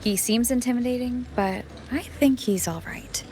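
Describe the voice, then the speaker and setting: A woman's voice: a little high, moderate pace, dark tone, slight background noise, close to an everyday voice. An actress playing a polite, upbeat young woman, a fair-minded companion in a video game. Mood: thoughtful.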